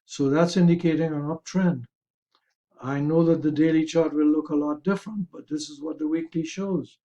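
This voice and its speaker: Male market commentator, dark mellow tone, a little raspy and hoarse, careful hesitant explanatory delivery. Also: on the high side, fairly even intonation, normal speed, muffled recording. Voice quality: slightly raspy. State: hoarse, throat-clearing. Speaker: host of a stock market review.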